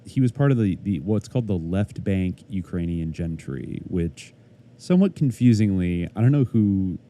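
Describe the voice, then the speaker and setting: A man's voice: deep voice, lively intonation, moderate pace, dark tone, slight background noise. A man co-hosting a podcast, chatting casually and rambling with lots of "like" and "I guess". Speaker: podcast co-host. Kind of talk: casual chat.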